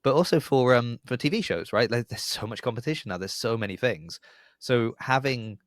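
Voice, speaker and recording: A man's voice: medium pitch, a little fast, no room sound. A man hosting a podcast in casual conversation, chatty and rambling with a wry streak. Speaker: podcast host.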